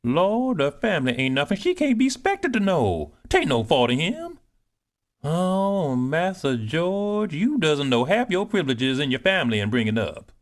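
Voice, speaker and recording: A man voicing a proud, bustling older woman cook, speaking in high, swooping tones. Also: measured pace. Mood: boastful. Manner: animated, scolding, swoops high. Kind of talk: audiobook character reading.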